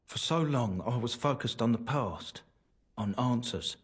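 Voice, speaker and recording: An earnest, humble merchant in a fantasy game. His voice sits at an ordinary male pitch with a rough, husky edge. He talks in a folksy, slightly rueful way. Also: animated, measured pace. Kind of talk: video game dialogue.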